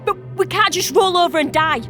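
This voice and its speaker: A woman's voice: very high voice, a little fast, a bit bright, soft background music. An actress playing a scared young female companion, protesting urgently in an audio drama. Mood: desperate.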